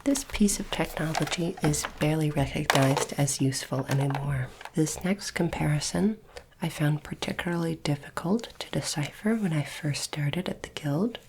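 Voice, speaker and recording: ASMR roleplay: formal, courteous alien appraiser woman performer, low soft whisper, slow precise delivery, some background noise. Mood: calm, approving. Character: polite, formal alien artifact appraiser training a human recruit.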